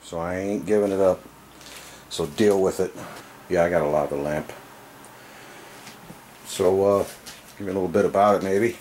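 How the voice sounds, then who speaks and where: A man with a low voice narrates a casual tour of a small room at home. His talk is folksy, salty and self-deprecating, full of easygoing slang. The handheld camera recording carries some faint background noise. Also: normal speed, pauses often, a little mellow. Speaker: video creator giving a room tour. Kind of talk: casual offhand vlog narration. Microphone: handheld camera, operated by the speaker.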